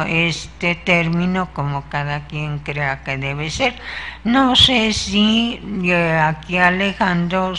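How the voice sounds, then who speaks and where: An older woman, telling in a slow, measured way how she named her art writing, as a guest on a local interview show. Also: lowish voice, sharp tone. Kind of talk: interview talk.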